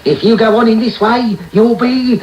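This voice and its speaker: A man's voice: very high-pitched, steady tone, normal speed, lo-fi sound. An actor in a radio drama playing a sly, wheedling old man who leads young pickpockets, with coaxing, theatrical delivery. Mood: fatherly, sly. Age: older. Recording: roomy, noisy medium-wave radio tape.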